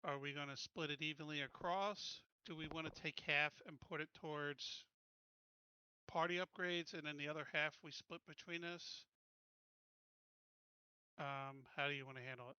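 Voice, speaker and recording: Bright, higher-pitched man, direct tabletop player. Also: fairly even intonation, moderate pace, clear, resonant tone, no room sound, dull audio. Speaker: player in a tabletop roleplaying game. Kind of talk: casual game-planning chat.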